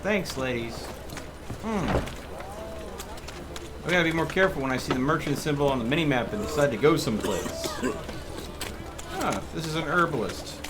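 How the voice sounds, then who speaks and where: A man's voice: on the high side, animated, normal speed, pauses often, background noise. A man giving casual video game commentary as a streamer, musing aloud as he plays.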